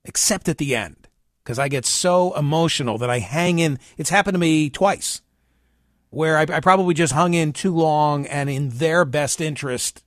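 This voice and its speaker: Higher-pitched male radio host, dry studio sound. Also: normal speed, no room sound. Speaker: radio talk show host. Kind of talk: conversational news read-through, reading aloud and commenting.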